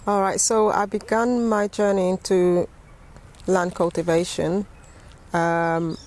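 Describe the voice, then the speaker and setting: A middle-aged woman with a London accent tells her story as an interview guest, halting and repeating words as she goes. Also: on the low side, flat delivery, darkish tone. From West London, England. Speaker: interview guest, gardening teacher.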